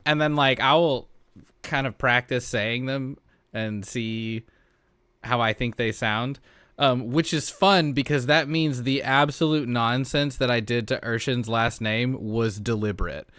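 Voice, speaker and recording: A man talks casually in a smooth, clear voice recorded dry and close. His pitch is ordinary, and he jokes and swears freely, like a podcast host among friends. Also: unhurried, fairly bright tone, dry recording. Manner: profane, joking. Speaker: podcast host and tabletop player. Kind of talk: casual chat.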